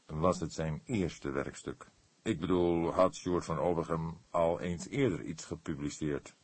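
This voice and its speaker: A man voicing a calm older police detective in an audiobook, probing in a hesitant, deliberate, quietly sceptical way. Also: rumbling low, husky, no room sound, voice pushed down, exaggerated voice.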